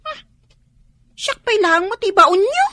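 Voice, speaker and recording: A woman's voice: very high voice, very animated, measured pace, bright, forceful tone, no room sound, full character voice. A woman with an Ilocano accent playing a coaxing young niece in a radio comedy drama. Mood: teasing. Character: young niece wheedling money from her aunt.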